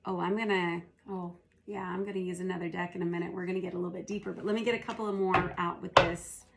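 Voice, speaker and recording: Hoarse, gravelly woman reading tarot. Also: fairly low pitch, level tone, very noisy. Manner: unhurried, thinking aloud as she reads. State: hoarse, losing her voice. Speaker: tarot reader. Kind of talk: casual spoken tarot reading.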